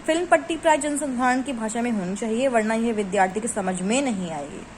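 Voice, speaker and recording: A woman with a fairly high voice teaches a lesson, reading formal textbook lines and then explaining them again in everyday Hindi sprinkled with English words. A faint hiss sits under her voice. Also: rough recording. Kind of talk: explaining from study notes.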